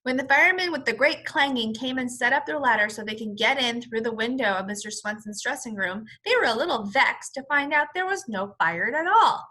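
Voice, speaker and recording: A woman reading a children's story aloud as a teacher, in an expressive, explanatory manner. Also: a little high, brisk, few pauses. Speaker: first-grade teacher.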